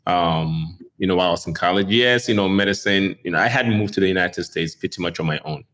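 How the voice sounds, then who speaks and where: A middle-aged man from Ghana speaks in a very deep, low voice. He answers interview questions in a relaxed way, with plenty of "um" and "you know" and the odd chuckle. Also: quick pace. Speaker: neurosurgeon guest.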